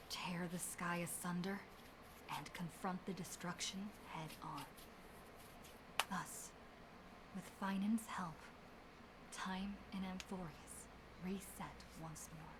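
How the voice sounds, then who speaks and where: A woman playing a solemn young game heroine, recounting an epic saga in measured, dramatic scripted narration. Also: on the low side, background noise. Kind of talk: scripted story recap narration.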